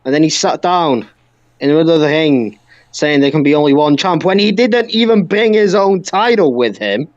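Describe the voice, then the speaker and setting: A man with a slightly high voice chats as a podcast co-host. He is emphatic and opinionated, prone to ranting. The sound is dry and a little muffled. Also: lively intonation, moderate pace, no room sound. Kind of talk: opinionated wrestling podcast chat.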